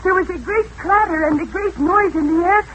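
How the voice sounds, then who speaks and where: A headstrong young jungle girl, extremely high in pitch and impassioned. Her phrasing is formal and dramatic, like an otherworldly maiden in a radio adventure. Faint noise sits under the voice. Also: brisk, dull audio. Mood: awed. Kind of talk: radio adventure serial acting. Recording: noisy old radio drama recording.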